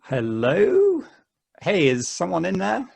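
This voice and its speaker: A man reading the part of an eager, polite hiker in a stage play table read, with a halting, chuckly, friendly way of talking. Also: high voice, wildly swooping pitch, creaky, darkish tone, breathy edge, heavily acted. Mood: concerned, calling out. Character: earnest, chatty, friendly male hiker, eager to befriend everyone.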